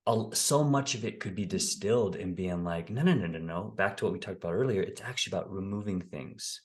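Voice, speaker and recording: A man in his thirties hosts a podcast over a video call, his voice sitting on the low side. He speaks enthusiastically and affirms his guest often. He is in a home office with bookshelves, using a desk mic. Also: brisk. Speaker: podcast host. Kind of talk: warm interview conversation. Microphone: desk condenser mic, video call.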